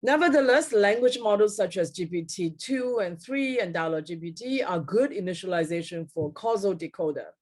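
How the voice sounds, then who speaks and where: A middle-aged woman giving a technical keynote lecture over slides on a video call, pausing often with fillers and correcting herself mid-word. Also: medium pitch, animated, a little mellow. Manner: frequent fillers and self-corrections. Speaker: keynote speaker. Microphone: webcam at desk, video call.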